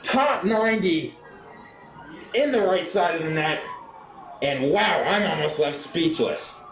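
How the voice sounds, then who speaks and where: A man's voice: fairly high pitch, slow, soft background music. Young man calling soccer, roomy sound. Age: young adult. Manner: animated, descriptive calls. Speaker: play-by-play sportscaster. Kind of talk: live soccer radio commentary.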